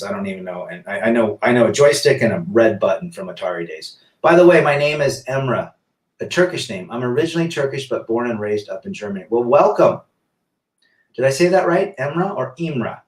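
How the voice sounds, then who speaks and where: Echoey livestream host reading chat. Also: male voice, medium pitch, far from the mic. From south-central Pennsylvania, US. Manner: reads viewer comments aloud and answers them. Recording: echoey room.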